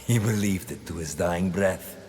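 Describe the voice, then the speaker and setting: An actor playing a cold, calculating villain in a video game, speaking with deliberate, imperious menace. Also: male voice, low voice, darkish tone, a little hiss or hum, natural voice. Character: cold, scheming Templar villain, a calculating man of ambition. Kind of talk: video game dramatic acting.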